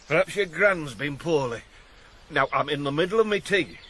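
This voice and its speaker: A man's voice: fairly high pitch, expressive, moderate pace, pushed and bright, slight background noise. A man playing a gossipy, offhand neighbour in a radio drama. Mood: dismissive.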